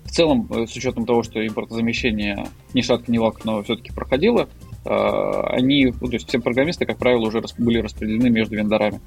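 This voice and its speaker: A man with a gravelly, creaky voice of middling pitch talks as a guest expert in a loose panel chat. He explains things colloquially, stumbling through fillers and restarting his sentences. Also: quick pace, a little mellow, soft background music. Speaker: marketer. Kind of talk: casual panel discussion.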